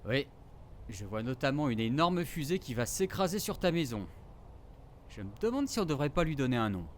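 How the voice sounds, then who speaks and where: An actor playing a teasing, affectionate forest ranger in a naturalistic audio drama, with casual, slangy delivery. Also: male voice, mid-range voice, very animated, moderate pace, background noise, natural voice. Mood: playful.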